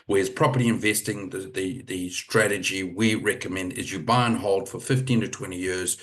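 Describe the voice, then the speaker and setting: Relaxed low-voiced man hosting a webinar. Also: a little fast. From Zimbabwe. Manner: relaxed, chatty, many fillers and restarts. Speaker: webinar host. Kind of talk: hosting a live property webinar. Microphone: video call.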